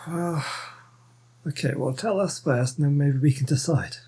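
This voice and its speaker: A man's voice: on the high side, moderate pace, mellow, husky. A man giving casual, wry commentary while playing a story game. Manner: wry, joking asides. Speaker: let's play host.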